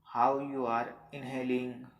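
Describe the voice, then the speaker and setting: Slow-paced man guiding meditation, roomy echo. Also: medium pitch, little pitch movement. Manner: unhurried, soothing delivery with long pauses. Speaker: meditation guide. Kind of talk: guided meditation, slow instructions. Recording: roomy, echoing sound.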